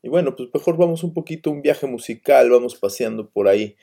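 A man's voice: medium pitch, lively intonation, fast, vocal fry, warm tone. A man hosting a radio show in casual, rambling talk, full of hesitations and fillers. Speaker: radio host.

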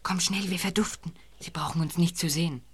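A woman's voice: on the low side, fairly even intonation, fairly quick, a little hiss or hum, close to an everyday voice. A woman playing a doubting boy detective in an audio drama, wary of danger, with a hesitant, questioning manner. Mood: furtive whisper. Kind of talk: amateur audio drama acting.

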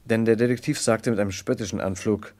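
An actor playing an earnest, troubled gentleman who narrates an old German radio crime play in a careful literary manner. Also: fairly low pitch, steady tone, close to an everyday voice. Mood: grave, narrating. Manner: measured, literary storytelling, hesitant in dialogue. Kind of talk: mid-20th-century German radio crime drama narration and acting.

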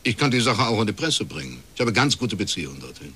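An actor playing a shrewd, dry-witted private detective in a radio crime drama, laconic and deadpan. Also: male voice, lowish voice, fast, slight background noise. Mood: coolly confident. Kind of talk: naturalistic German radio crime drama acting.

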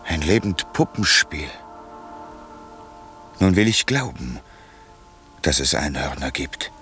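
A man's voice: a bass voice, expressive, slow pace, pushed and bright, soft background music, exaggerated voice. An actor playing a cynical, ambitious nobleman in a 1960s radio play. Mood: astonished.

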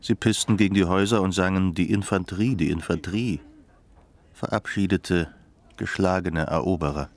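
A sombre narrator with a low voice. He tells a literary tale of post-war Germany in the manner of a radio drama. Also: moderate pace. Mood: grave, measured.

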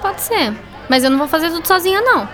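A chatty, curious Brazilian schoolgirl in her teens, with a notably high voice. She talks in casual slang and bosses her friend around a little, as in a radio play. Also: very animated, quick pace, a crowd in the background. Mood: brisk, bossy. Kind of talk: scripted educational radio drama.

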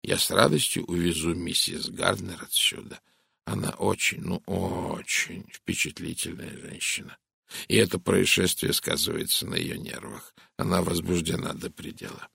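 A man voicing an amiable, cautious middle-aged American in an audiobook, speaking in a hushed, confiding, hesitant way. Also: low voice, level tone, moderate pace, creaky, husky, no room sound, clean studio sound, heavily acted. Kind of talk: audiobook character voice.

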